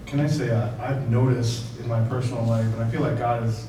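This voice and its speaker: A man's voice: medium pitch, fairly even intonation, brisk. A man in a seminar audience informally sharing a personal experience, searching for his words as he goes. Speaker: seminar participant. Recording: very echoey, noisy room, distant mic.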